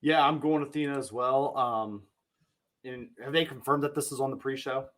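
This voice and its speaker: A man co-hosting a casual wrestling podcast, measured in his speech, with dry sarcastic humor and frequent "um"s. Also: medium pitch, a bit bright. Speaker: podcast co-host.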